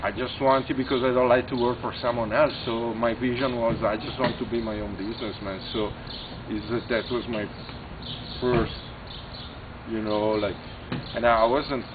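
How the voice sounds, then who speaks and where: A middle-aged Italian restaurant owner with an Italian accent, talking in a halting way, full of fillers and "you know". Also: male voice, mid-range voice, steady tone. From Italy. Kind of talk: casual interview. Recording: tinny phone-like audio with clear background noise.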